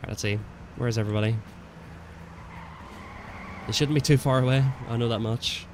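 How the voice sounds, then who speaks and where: A man's voice: mid-range voice, steady tone, moderate pace, background noise. A young man livestreaming a game, chatting in a laid-back way with viewers, stuffy from a cold. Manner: chatty, laid-back, answers chat, says "man" and "bro" often. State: congested from a cold. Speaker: gaming livestreamer. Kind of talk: casual live stream chat while playing.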